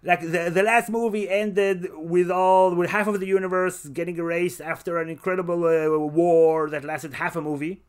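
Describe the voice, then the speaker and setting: A man's voice: high-pitched, fairly even intonation, normal speed, few pauses, clear, resonant tone. A man hosting a casual podcast movie review, talking in an emphatic, enthusiastic way. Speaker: podcast host.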